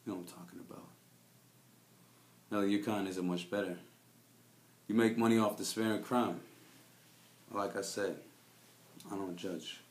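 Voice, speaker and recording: A man's voice: on the low side, fairly even intonation, moderate pace, pauses often, reverberant, lightly acted. A man playing a cynical, world-weary private investigator, delivering a brooding noir monologue in a confiding, jaded manner. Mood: jaded, bitter. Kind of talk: scripted noir detective monologue.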